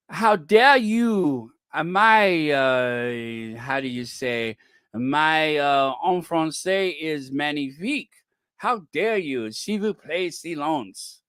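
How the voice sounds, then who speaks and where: A man hosting a casual livestream, chatting in a playful, theatrical, joking way with halting, drawn-out phrasing. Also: high-pitched, a bit bright, no echo, studio quality. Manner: playful, joking, mock-indignant theatrical delivery. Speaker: livestream host.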